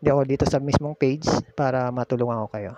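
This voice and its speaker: A man's voice: medium pitch, quick pace. A Filipino man hosting a casual step-by-step demonstration, explaining in an instructional way and repeating key steps. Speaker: tutorial host. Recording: muffled lo-fi audio with background noise.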